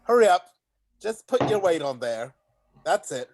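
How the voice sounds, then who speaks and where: A man's voice: a little high, very animated, a little slow, background noise. A man playing a bumbling, easygoing handyman in a read-through of a stage comedy. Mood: matey, apologetic. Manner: matey, casual. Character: bumbling, easygoing handyman in an amateur panto troupe. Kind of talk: play reading of a stage comedy.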